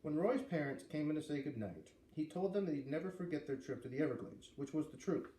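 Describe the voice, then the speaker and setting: Man, expressive story reader, mellow tone, roomy distant recording. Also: on the high side, fast. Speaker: reader of a children's novel aloud. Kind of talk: read-aloud storytelling with acted dialogue. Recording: roomy, distant mic with light noise.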